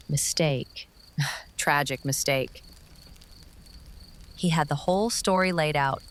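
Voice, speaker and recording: An actor in an audio drama playing a bitter, grief-driven, menacing character out for revenge, speaking in deliberate, clipped phrases. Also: medium pitch, a little hiss or hum.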